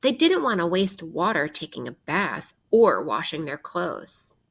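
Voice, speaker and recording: Woman, teacher, lowish pitch, very slow pace, deliberate, instructive, interactive children's read-aloud. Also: big pitch swings, gravelly, husky. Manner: deliberate, instructive, posing questions to young listeners.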